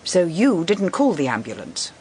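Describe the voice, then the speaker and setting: An actress playing a sceptical private detective in a British radio drama, English, questioning methodically. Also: female voice, fairly low pitch, wildly swooping pitch, moderate pace, warm tone. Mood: probing, sceptical. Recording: clear background noise. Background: ambient noise.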